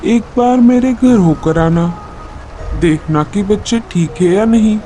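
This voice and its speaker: Man voicing a high, earnest little mother bird. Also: lively intonation, unhurried, warm tone, faint music behind. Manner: earnest, polite, pleading. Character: small gentle mother bird, earnest and pleading. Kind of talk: Hindi children's cartoon dialogue.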